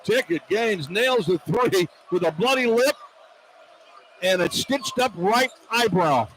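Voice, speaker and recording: An American man doing excitable, partisan live radio play-by-play of a basketball game as the announcer. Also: high voice, takes it slowly. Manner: excitable, partisan toward the home team. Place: courtside in a basketball arena. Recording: noisy live arena radio broadcast. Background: crowd and arena noise.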